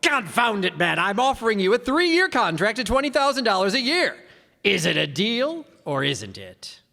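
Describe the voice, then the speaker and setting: An actor playing a scheming, condescending old rich businessman in a radio play, gravelly and pompous, hemming as he goes. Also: high-pitched, wildly swooping pitch, moderate pace, sharp tone, heavily acted. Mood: exasperated. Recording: echoey hall, stage reverb.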